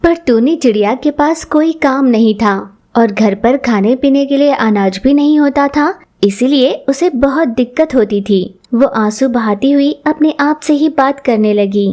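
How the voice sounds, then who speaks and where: Woman narrating a kids story, high voice but soft and mellow, scripted. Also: measured pace, few pauses.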